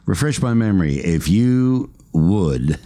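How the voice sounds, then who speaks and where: A man's voice: low-pitched, animated, slow pace, warm tone. A man hosting a radio interview show, folksy and joking with his guests. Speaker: talk show host.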